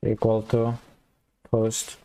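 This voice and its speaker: Man, gravelly and low, dark mellow tone, flat delivery, slightly hoarse, casual coding tutorial, thinks aloud while typing. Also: slow pace. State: slightly hoarse, losing his voice. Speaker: programming tutor.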